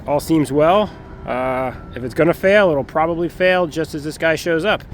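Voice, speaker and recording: A man's voice: a little high, normal speed. A man chatting casually and informally to his viewers as the host of a car vlog, in a folksy way. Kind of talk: casual handheld vlog chat. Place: mostly outdoors, around vehicles, parking lot and property. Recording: noisy outdoor recording with vehicle sounds.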